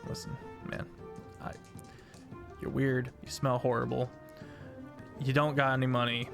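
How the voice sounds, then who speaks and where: A man voicing a tired bartender in his late twenties, speaking in a hushed, halting way. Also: medium pitch, level tone, vocal fry, over music. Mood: exasperated. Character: weary young male bartender, fed up.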